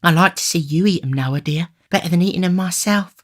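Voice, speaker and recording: Doting young servant woman, high and coaxing voiced by a male reader, audiobook character, eager to please. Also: a little fast. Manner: coaxing, fawning.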